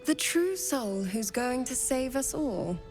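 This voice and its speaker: Poised cleric over music. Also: female voice, high voice, sing-song, slow pace, a little mellow, heavily acted. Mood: wry, welcoming. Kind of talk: fantasy video game dialogue.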